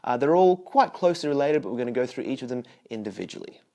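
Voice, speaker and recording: A young man teaching bass, talking straight to the viewer in a chatty, explaining way and asking questions as he goes. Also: expressive, fast talker, mellow. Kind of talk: direct-to-camera instructional talk.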